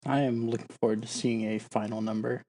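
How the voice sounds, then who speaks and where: A man co-hosting a podcast in casual chat, low-key in his reactions and remarks. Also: flat delivery, brisk, mellow. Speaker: podcast co-host.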